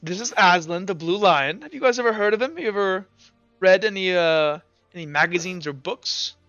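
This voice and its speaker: A man's voice: sits high, moderate pace, a bit bright, no echo, dull audio, faint music behind. A man playing a smug, self-assured man of status in a tabletop game, with a casual, showy manner.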